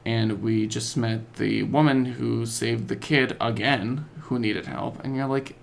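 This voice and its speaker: A man's voice: mid-range voice, measured pace, creaky, a little hiss or hum. A man chatting casually as a podcast co-host about video games, telling stories in slangy, informal language.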